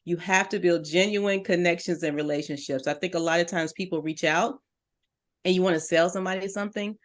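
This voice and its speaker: A woman's voice: lowish voice, steady tone, a little fast. A middle-aged woman, a business coach on a talk show, giving direct and encouraging advice to listeners. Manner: earnest, direct and encouraging, addresses listeners as "you".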